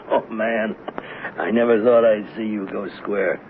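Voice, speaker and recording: A man's voice: on the low side, steady tone, takes it slowly. An actor playing a sly, chummy streetwise crook in a 1970s radio drama, slangy and insinuating as he needles and tempts. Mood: chummy, mocking. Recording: narrow, clearly noisy old radio broadcast.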